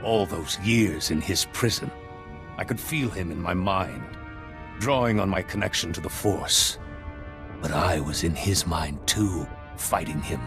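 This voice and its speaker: Redeemed game Jedi, deepish voice, solemn, intense, deliberate, haunted zealot. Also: male voice, music in the background. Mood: defiant. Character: fallen, redeemed male Jedi, grave, haunted, resolute zealot. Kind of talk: dramatic video game acting.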